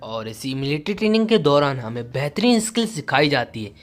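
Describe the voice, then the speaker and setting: A smug young lord acted by a male narrator talks with cocky confidence. The voice is fairly high and animated, with soft music behind the manga tale. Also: brisk.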